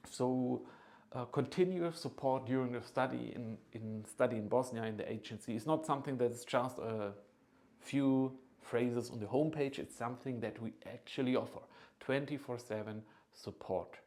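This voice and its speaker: A man in his thirties giving an informal, earnest promotional pitch as a consultant, persuasive and often checking in with the listener. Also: normal speed, darkish tone. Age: 30s. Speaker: agency founder and study consultant.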